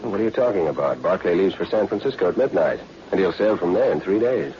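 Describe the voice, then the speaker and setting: A man's voice: deep voice, lively intonation, fast, warm tone, slight background noise. A man playing a bitter, scheming turncoat newsman in 1940s radio style, terse and resentful, on a muffled old recording. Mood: indignant. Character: bitter, scheming male newsman turned traitor.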